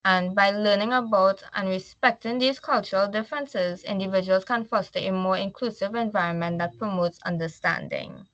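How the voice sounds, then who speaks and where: A woman's voice: medium pitch, normal speed, few pauses, a bit bright, no room sound, lo-fi sound. A woman from Trinidad with a Trinidadian accent, giving a class slide presentation. She reads from slides, then explains in loose everyday talk.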